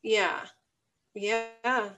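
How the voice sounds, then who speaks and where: Slow, thoughtful woman with a higher voice. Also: steady tone, pushed and bright. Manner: thoughtful, open, searching for words. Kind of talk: casual conversation.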